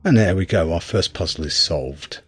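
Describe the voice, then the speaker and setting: Deep, husky man commenting on gameplay. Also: moderate pace, fairly bright tone, no room sound. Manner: hesitant, thinking aloud. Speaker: video game reviewer.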